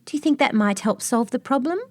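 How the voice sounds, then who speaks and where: A woman voicing a tiny, kind male Christmas elf with a tiny, high voice. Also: animated, fast, a little mellow, no room sound, studio quality. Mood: gentle.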